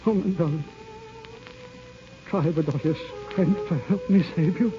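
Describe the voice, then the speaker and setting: Guy playing intense lovestruck groom, old radio drama, high voice, muffled recording w music. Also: unhurried, dark tone, lightly acted. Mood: anguished. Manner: urgent, pleading, melodramatic. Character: devoted bridegroom, passionate and anguished. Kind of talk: 1940s radio acting.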